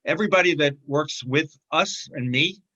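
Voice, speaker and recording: A fairly high, bright-voiced man talks things through in a halting way, filling pauses with lots of ums and uhs. The sound is dull and lo-fi, like audio from a video call. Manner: halting, many fillers and restarts. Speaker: film distributor, interview guest. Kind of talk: casual interview conversation.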